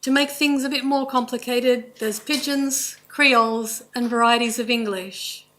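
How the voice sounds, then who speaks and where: A woman with an Australian accent giving a formal, measured presentation in a courtroom, speaking in short listed phrases. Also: on the high side, fairly even intonation, a bit bright, a little hiss or hum. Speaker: language presenter at a court inquest.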